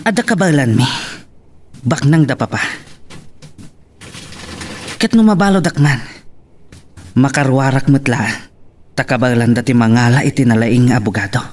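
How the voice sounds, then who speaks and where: A man's voice: mid-range voice, moderate pace, pauses often, a little mellow, slight background noise, close to an everyday voice. An actor playing a jealous, scheming rich young man, delivering brooding and menacing monologue in an audio drama. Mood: brooding menace. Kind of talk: Ilocano radio-style drama acting.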